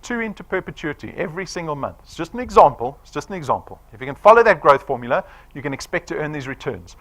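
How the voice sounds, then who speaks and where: A man's voice: a little high, fast. A man in his forties giving a persuasive sales presentation to a live audience, often checking in with "right?". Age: 40s. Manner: animated, persuasive, quizzing the room with "right?".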